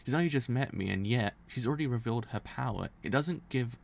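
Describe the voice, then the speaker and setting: A man's voice: mid-range voice, animated, fast talker, gravelly. A man voicing a cunning, disdainful beast fighter with venom, scheming and contemptuous, in a story reading. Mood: scornful, scheming. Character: cunning, disdainful poisonous beast fighter who trusts nobody.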